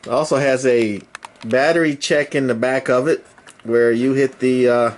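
A man giving a casual, unscripted hands-on demonstration of hobby radio gear, explaining it in a halting, matter-of-fact way. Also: medium pitch, steady tone, slight background noise. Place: indoors at a home radio bench. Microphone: handheld camera mic, speaker behind camera.

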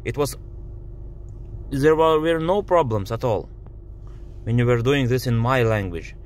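Slow, halting man with a Lithuanian accent. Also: mid-range voice, darkish tone, slight background noise. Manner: frustrated, halting, many fillers. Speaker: driver demonstrating a car app. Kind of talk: casual complaint video. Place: inside a parked car.

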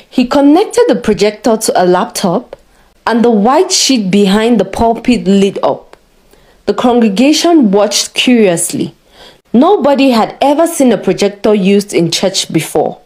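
A woman narrating a scripted story in a measured, dramatic way. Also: medium pitch, darkish tone.

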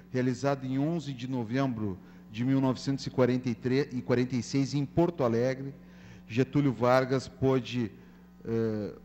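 A middle-aged man delivers a measured, formal political speech, partly read. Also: medium pitch, dark tone. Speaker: city councillor. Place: large council chamber, indoors. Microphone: gooseneck podium microphone. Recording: echoey hall, mains hum and noise.

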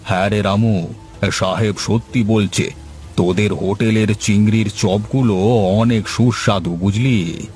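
A jolly middle-aged customer in a cartoon, as a man voices him, talking slowly and exclaiming over the food. His pitch leaps up when he is delighted. Soft music plays behind him. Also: fairly even intonation, natural voice. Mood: pleased. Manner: exclaims loudly and savours every bite.

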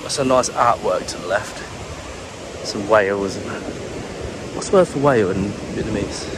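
Monotone British man walking a beach. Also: fairly low pitch, normal speed. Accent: British English. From England. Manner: monotone, relaxed musing. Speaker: travel vlogger. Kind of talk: walking vlog commentary. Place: outdoors on a sunny beach. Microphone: handheld camera carried while walking. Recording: clear wind and surf noise. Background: ocean waves and breeze.

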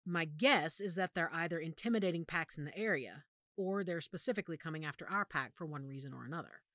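A woman plays a werewolf pack matriarch with a low-pitched voice, recorded close and dry. She is commanding and calculating, and she speaks with deliberate authority, as in an audio drama. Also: fairly bright tone, dry recording. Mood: grim, reasoning.

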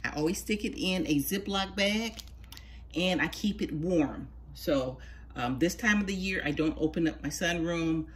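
Low-voiced woman explaining home seed starting. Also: unhurried. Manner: homey, patient, chatty explaining. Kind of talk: casual how-to narration. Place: sunroom at home, indoors.

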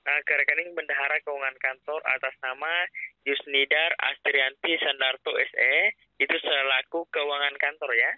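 A man making a persuasive pitch over the phone, speaking insistently with some hesitation. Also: steady tone, moderate pace, dry recording. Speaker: phone caller claiming to be a prize official. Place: on a phone call. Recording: narrow, dull phone line.

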